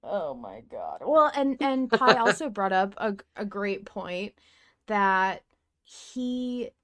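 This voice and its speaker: A woman in her thirties hosting a casual fan discussion podcast, talking in an animated, emphatic way and swearing casually. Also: mid-range voice, takes it slowly. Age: 30s. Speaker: podcast host.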